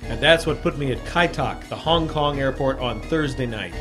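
A man's voice: mid-range voice, moderate pace, over music, close to an everyday voice. An actor playing a hard-boiled, sly American freelance newspaperman, with a clipped, wry delivery in an old-time radio drama. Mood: tense narrating. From United States, San Francisco. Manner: clipped, wry, world-weary storytelling. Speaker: lead actor and narrator. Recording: vintage radio broadcast.

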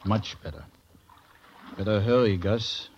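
Very slow, sinister castaway played by a man. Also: on the low side, dull audio, close to an everyday voice. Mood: quietly coaxing. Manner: measured, hushing, soothing. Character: calm, sinister German castaway, coaxing and coldly patient. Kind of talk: 1940s radio acting. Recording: noisy, band-limited old radio recording.

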